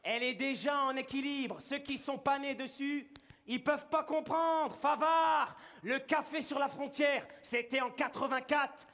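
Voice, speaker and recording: A man's voice: very high-pitched, fairly even intonation, measured pace, bright, forceful tone. A man performing a wry, reminiscing monologue on stage, often chuckling as he recalls things. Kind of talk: theatrical monologue.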